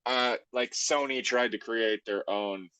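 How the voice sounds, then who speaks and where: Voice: medium pitch, steady tone, measured pace, background noise. A co-host chatting casually and reacting to a story with joking commentary.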